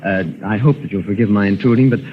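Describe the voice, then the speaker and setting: An actor playing an eager, nervous inventor who hesitates and stammers, in 1940s radio acting style. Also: male voice, fairly low pitch, quick pace, slight background noise, natural voice. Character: eager, nervous middle-aged male inventor. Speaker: inventor presenting his machine. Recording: dull old radio broadcast.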